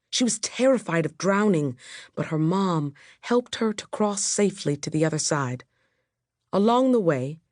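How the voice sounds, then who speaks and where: A woman reads a storybook aloud in a fairly low voice. She tells it in a measured, clear manner, as scripted audiobook narration. The recording is very dry, with no room sound. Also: a little mellow, dry recording.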